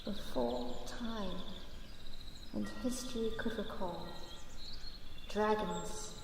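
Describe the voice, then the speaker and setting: A woman acts as a young female dragon, shy and earnest, in an ASMR fantasy roleplay. She speaks softly and haltingly at an unhurried pace. Her voice sits far from the mic in a deeply echoing space, with faint noise behind it. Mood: solemn storytelling. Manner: soft, slow, halting.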